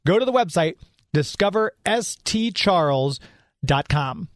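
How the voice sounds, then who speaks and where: A man's voice: high voice, animated, measured pace, dry recording, studio quality. A man hosting a radio show in a chatty, informal, off-the-cuff way, moving between casual talk and sponsor reads.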